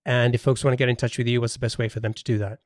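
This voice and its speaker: A man's voice: medium pitch, flat delivery, racing, no room sound, clean studio sound. A man hosting a casual interview podcast, thinking aloud with hesitations and repeated words.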